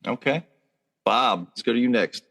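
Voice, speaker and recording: A man's voice: mid-range voice, normal speed, creaky, a bit bright. A man hosting a casual sports podcast over a video call, reading sponsor spots and chatting with upbeat energy. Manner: upbeat, reads sponsor spots then chats. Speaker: podcast host.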